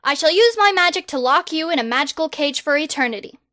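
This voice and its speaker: A woman's voice: high-pitched, expressive, fast, sharp tone, no echo, studio quality, voice pushed up. A woman voicing a pompous, self-proclaimed boy wizard hero who makes grandiose, bossy proclamations.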